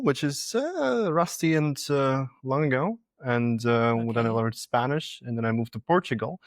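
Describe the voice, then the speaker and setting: A man's voice: mid-range voice, creaky, dry recording, studio quality. A man in his twenties from Latvia, a native Russian speaker, chatting loosely as a podcast guest and often restarting his words. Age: 20s. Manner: relaxed, repeats and restarts words, laughs easily. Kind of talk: casual interview chat.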